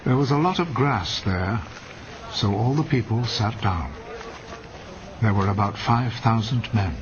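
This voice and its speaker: A man's voice: fairly low pitch, expressive, measured pace, a little hiss or hum. A man narrating scripture from a script for a film. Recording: muffled film soundtrack. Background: loud music.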